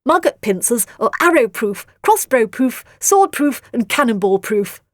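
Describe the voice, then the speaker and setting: An unflappable monster boy, put on by a woman narrator, high and indifferent. He is terse and faintly boastful, in a very dry storybook recording. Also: big pitch swings, measured pace, no room sound. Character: bored, unflappable monster boys with pincers, two brothers.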